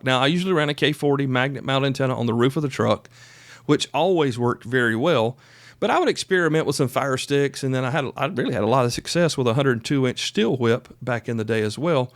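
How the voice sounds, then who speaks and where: A man in his fifties talks as a solo podcast host in a folksy, conversational manner. His pitch sits in the middle range for a man, and he leans on key points and wanders into asides. The sound is close and dry. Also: no room sound. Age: 50s. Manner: folksy, chatty, emphatic, given to asides. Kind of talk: casual solo podcast talk.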